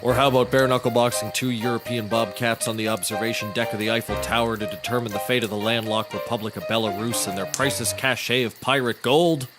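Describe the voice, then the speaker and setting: An actor playing a boastful, washed-up international secret agent in a scripted comedy, talking in a bombastic, grandiose way. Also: male voice, mid-range voice, steady tone, fast talker, hardly any pauses, music in the background, close to an everyday voice.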